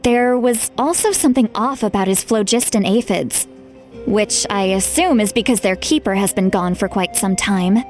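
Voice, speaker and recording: A woman playing a responsible, frank young female leader in a video game, speaking in a measured, earnest way. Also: mid-range voice, a little mellow, faint music behind, close to an everyday voice. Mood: earnest, concerned.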